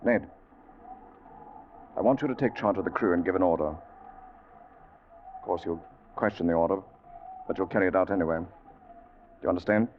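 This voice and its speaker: Haunted sea captain male actor, low and grave, resolute under grief, old radio drama with dulled sound. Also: normal speed, pauses often, a little hiss or hum, lightly acted. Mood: stern command. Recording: muffled vintage radio recording.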